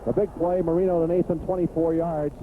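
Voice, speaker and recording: High-pitched radio football announcer, tinny and noisy. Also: male voice, brisk. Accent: American. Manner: clipped phrases building to excited calls. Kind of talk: live American football play-by-play commentary.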